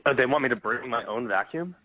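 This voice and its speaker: A man's voice: mid-range voice, quick pace. A man talking casually over the telephone, polite and hesitant, stammering at times. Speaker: temp worker taking a phone call. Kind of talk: casual phone conversation. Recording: muffled, dry phone line.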